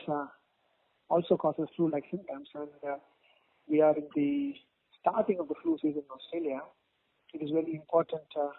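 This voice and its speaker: A man speaking as a doctor in a phone interview, giving formal, halting, measured explanations over a narrow phone line. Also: on the high side, vocal fry. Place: phone call. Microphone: telephone.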